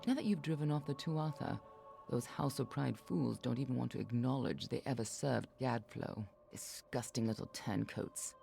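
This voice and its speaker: Creaky, guarded fantasy warrior woman. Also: a bass voice, moderate pace, warm tone, full character voice. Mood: wry resolve. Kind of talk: video game character dialogue. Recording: music behind the voice.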